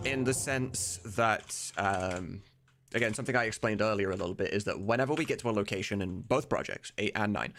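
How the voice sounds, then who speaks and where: A man's voice: fairly low pitch, brisk, a bit bright, slight background noise. A man streaming casually as he works, thinking aloud in an informal, sweary way. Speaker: streamer and mod voice director. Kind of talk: casual livestream chat while working. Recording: music behind the voice. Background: music.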